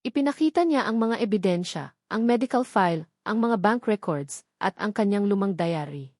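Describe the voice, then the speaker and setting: A woman's voice: mid-range voice, animated, normal speed, darkish tone, no room sound. Woman narrating a dramatic story, dry sound.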